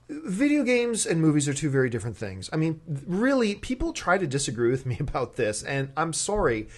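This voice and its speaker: A man with a somewhat high voice hosts a casual movie discussion. His intonation swings widely as he argues his opinions. He leans on key words and repeats them for emphasis. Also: fairly bright tone, husky. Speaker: talk show host.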